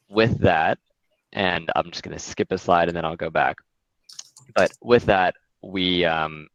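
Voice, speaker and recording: A man presenting his investment firm in a relaxed webinar talk, with frequent fillers. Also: low voice, no echo, muffled recording.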